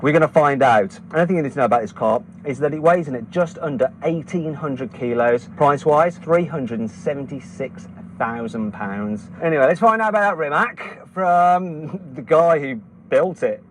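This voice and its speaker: Excitable man with a Midlands accent. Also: on the high side, a little hiss or hum. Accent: English Midlands. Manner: excitable, laughs often, exclaims loudly. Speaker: car reviewer and host. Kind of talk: excited car vlog presenting. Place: outdoors on an airfield runway.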